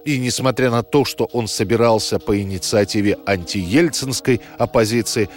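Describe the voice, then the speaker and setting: Male narrator over faint music. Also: on the low side. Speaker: narrator of a history programme. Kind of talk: scripted documentary narration. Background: music bed.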